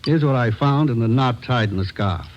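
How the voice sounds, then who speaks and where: An earnest crime lab man voiced by an actor sets things out with emphatic precision. His male voice sits at a middle pitch, in a muffled old radio police drama recording. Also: normal speed, slight background noise, lightly acted. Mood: insistent, explaining.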